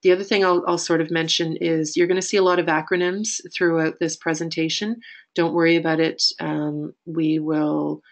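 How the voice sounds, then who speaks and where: A woman executive director with a raspy voice and a Canadian accent, presenting a webinar in a steady, informal way with many pauses to think. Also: low voice.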